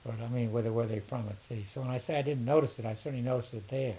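A man's voice: mid-range voice, fast talker. An older man answering interview questions casually, in a hesitant, rambling way that often repeats words. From southern United States. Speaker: interviewee, education consultant. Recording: faint steady hiss.

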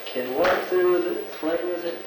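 A man's voice: high-pitched, normal speed. A man answers question after question in an easy, offhand way, tossing in dry jokes. He sounds set back from the mic in a strongly echoing room, with a noisy background. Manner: dry joking asides. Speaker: interviewee. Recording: echoey, distant, noisy recording.

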